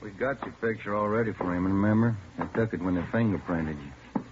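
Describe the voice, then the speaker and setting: An actor playing a steady police detective partner in a 1950s radio drama, firm and measured. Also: male voice, fairly low pitch, close to an everyday voice. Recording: dull old radio broadcast with faint hiss.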